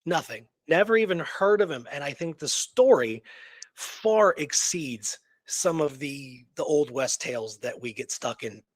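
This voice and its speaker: A man's voice: fairly high pitch, animated, measured pace, dry recording. A man co-hosting a history show in casual, unscripted chat, rambling and conversational with emphatic repetition. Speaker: co-host of an Old West history show.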